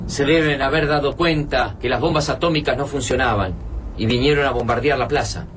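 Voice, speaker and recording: Male actor, sober practical Argentine survivor, medium pitch, explanatory, radio drama, slightly distant, faint noisy ambience. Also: a little fast, close to an everyday voice. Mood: urgent, reasoning. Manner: earnest, explanatory.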